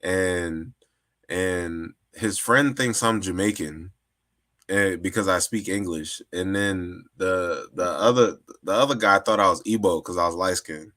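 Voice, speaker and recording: A low-voiced man talks through his ideas in a loose, conversational way. His tone is bright and forceful, and he often restarts and repeats words as he builds his point. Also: unhurried. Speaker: guest. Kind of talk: casual discussion.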